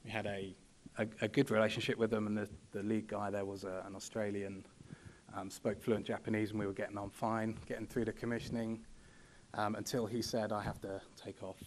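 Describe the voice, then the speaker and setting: A young man speaking from a podium at a conference panel, measured and full of ums and you-knows. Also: fairly low pitch, level tone, darkish tone, a little hiss or hum. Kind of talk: presentation at a podium. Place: large conference hall. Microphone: podium microphone.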